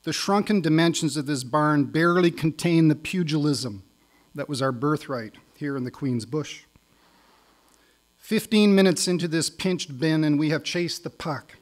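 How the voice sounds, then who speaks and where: A middle-aged Canadian man performing as a spoken word poet on stage, with a wry, deliberate delivery. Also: fairly high pitch. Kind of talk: live poetry recital with casual lead-in.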